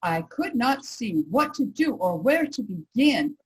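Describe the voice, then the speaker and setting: A woman's voice: fairly high pitch, very animated, measured pace, breathy edge, full character voice, poor audio. A woman playing an earnest nineteenth-century women's rights reformer, reciting formally in reader's theater. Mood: earnest, resolute.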